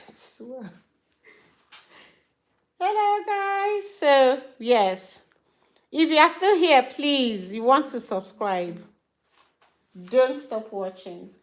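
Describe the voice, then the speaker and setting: Slow-talking Nigerian woman, hair vlog. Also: medium pitch. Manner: chatty, warm toward viewers, laughs often. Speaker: hair care vlogger and mother. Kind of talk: casual tutorial chat to viewers. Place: home.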